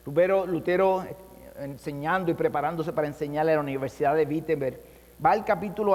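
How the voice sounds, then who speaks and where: A man with a high, ringing voice delivers an impassioned sermon, pushing his pitch up and down to hammer each point. His words trail into the long reverb of a church hall. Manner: impassioned preaching, pitch swinging with emphasis. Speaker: pastor.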